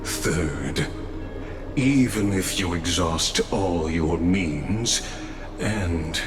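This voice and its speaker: Deep-voiced, courteous robot watcher. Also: male voice. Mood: calm, calculating. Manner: formal, measured, unfailingly polite. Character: courteous, calculating male robot watcher, long-lived gatekeeper. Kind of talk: scripted video game voice acting. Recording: echoing voice over clear background music.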